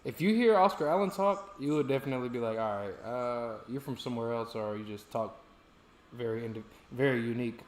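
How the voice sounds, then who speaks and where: An American man hosts a casual sports watch-along with a mid-pitched voice. He talks in a laid-back, colloquial way, and the room adds noticeable echo. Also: a little fast, a little hiss or hum. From United States. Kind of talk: casual chat. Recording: roomy, echoey sound.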